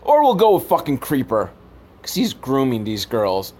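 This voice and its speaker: A man's voice: medium pitch, wildly swooping pitch, normal speed, darkish tone. A man hosting a podcast, giving a casual, profane and sarcastic comedic recap of films. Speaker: podcast host. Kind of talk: comedic film recap commentary, casual rant.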